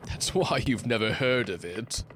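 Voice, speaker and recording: A man playing a slow, pompous ship's first mate. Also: mid-range voice, animated, fairly bright tone, a little hiss or hum. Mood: stern, indignant. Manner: pompous, florid archaic phrasing. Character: pompous, fussy first mate of an old sailing ship. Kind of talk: theatrical audio drama acting.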